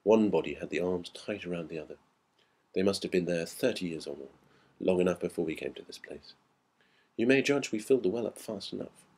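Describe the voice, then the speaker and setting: Country house host for a ghost story, voiced by a male reader: very deep, husky, grave, plainly conversational. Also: animated, fairly quick, darkish tone. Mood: grave, matter-of-fact. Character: country house host, matter-of-fact man recounting grim discovery.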